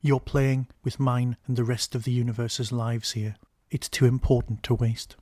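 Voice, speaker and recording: A man's voice: mid-range voice, level tone, normal speed, a little mellow, no room sound, clean studio sound, natural voice. A man voicing a weary time-travelling alien who earnestly pleads for a rest, in an audio story. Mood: pleading.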